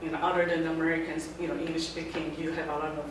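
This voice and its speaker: A middle-aged woman from Taiwan giving an informal talk to a student group, speaking in halting phrases that often restart. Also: low-pitched, level tone. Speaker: guest speaker. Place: lecture room. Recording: very echoey room, mic far off, background noise.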